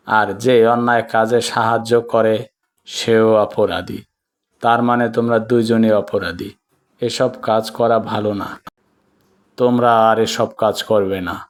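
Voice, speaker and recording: A man voicing a calm village grandfather in a cartoon, admonishing in a measured, moralizing way. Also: mid-range voice, natural voice. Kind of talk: cartoon voice acting.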